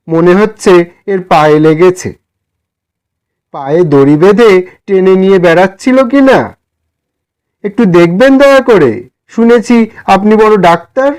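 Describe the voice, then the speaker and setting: Guy acting a shy humble poor gent, high soft-hearted pleading, storybook dialogue. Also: clear, resonant tone. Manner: meek, pleading, polite. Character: timid, humble, poor gentleman, kind to animals.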